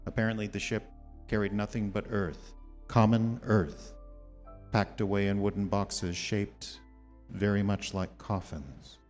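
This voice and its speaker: Radio horror narrator: grave male doctor acted by a man, low, formal, measured, hall reverb. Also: little pitch movement, a little mellow, lightly acted. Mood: grave, ominous. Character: grave gentleman doctor presenting evidence and reading a news report. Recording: hall reverb, faint music behind.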